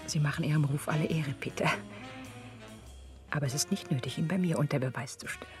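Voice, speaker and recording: An actress playing a proud, warm young woman in a radio drama, speaking frankly and with spirit. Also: low voice, quick pace, music in the background, natural voice. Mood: cool, gentle. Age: young adult. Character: proud, warm young woman Alda, old man's daughter.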